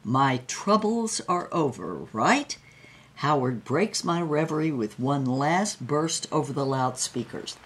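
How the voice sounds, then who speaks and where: A woman's voice: low-pitched, lively intonation, slow. A woman playing a wry, brassy showgirl who tells her own story in theatrical, vivid dramatized narration. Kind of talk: first-person dramatized narration.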